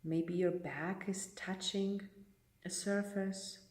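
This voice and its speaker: A woman leading a guided meditation as a teacher, speaking in a gentle, unhurried way with long pauses. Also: mid-range voice, steady tone. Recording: roomy echo, distant microphone.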